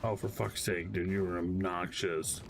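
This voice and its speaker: A man casually reacting to gameplay as a streamer, joking and swearing with drawn-out exclamations. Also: low-pitched, level tone, gravelly. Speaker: gaming streamer. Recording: loud voice over noisy game audio.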